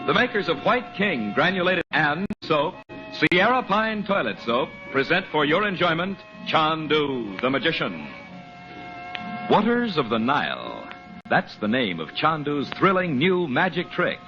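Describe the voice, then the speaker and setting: An American man announces in formal 1940s radio style, with a showman's deliberate phrasing and widely swinging pitch. The recording is muffled and old, with music clearly playing behind him. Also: big pitch swings.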